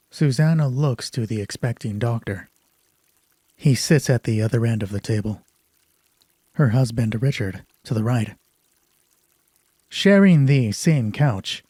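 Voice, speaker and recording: Husky man narrating slowly. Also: mid-range voice, mellow, dry recording. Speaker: narrator and solo roleplay host. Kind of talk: dramatic scripted narration.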